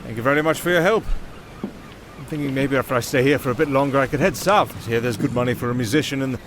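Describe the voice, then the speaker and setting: An actor playing a silver-tongued wandering musician in a fantasy audio drama, sardonic and theatrical, easily flustered. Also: male voice, medium pitch, quick pace, slight background noise, natural voice. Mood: grateful, relieved.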